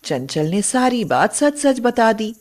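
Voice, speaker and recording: Slow-paced woman storyteller. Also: on the high side, very animated, darkish tone. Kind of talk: scripted children's moral story narration.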